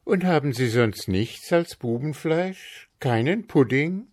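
A man's voice: mid-range voice, lively intonation, slow pace, darkish tone, voice pushed up. A man doing a cheeky clever little boy. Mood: curious.

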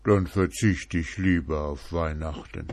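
A man's voice: a little slow, a little mellow, no echo. A man voicing a grumbling bear who insists on Christmas cake and sweets in a storybook. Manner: grumbling, rumbling.

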